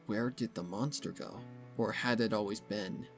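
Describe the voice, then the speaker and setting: A man narrating scripted fan fiction as a dramatic reading, solemn and measured. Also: on the low side, faint music behind.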